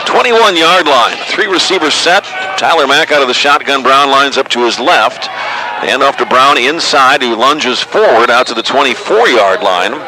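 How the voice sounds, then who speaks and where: A man's voice: medium pitch, animated, normal speed. A man with an American accent doing live radio play-by-play commentary of an American football game. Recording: noisy outdoor stadium ambience.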